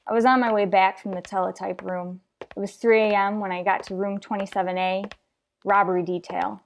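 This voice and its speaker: Woman acting a terse, deadpan female police detective. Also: medium pitch, fairly quick, a little hiss or hum, natural voice. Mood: businesslike. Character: tough, businesslike female police detective sergeant. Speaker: narrator and lead actor. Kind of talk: old-time radio detective drama narration, clipped and matter-of-fact.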